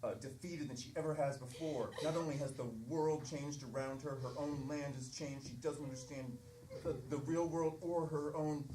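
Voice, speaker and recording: A woman improv performer narrating a parody audio drama on stage, haltingly searching for her words. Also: deep voice, steady tone, dark tone. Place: theatre stage. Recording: echoey stage, distant mic, noisy.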